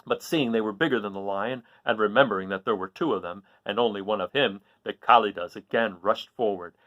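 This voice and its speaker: A man reading a children's story aloud with expressive, dramatic and emphatic phrasing. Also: fairly low pitch, brisk, dry recording, lo-fi sound.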